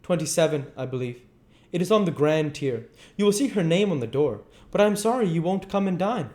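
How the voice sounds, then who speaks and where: Man playing a witty gentleman lord, raised pitch, flattering, persuasive, emphatic, storybook dialogue. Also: brisk, natural voice. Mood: friendly. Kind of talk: storybook dialogue read aloud.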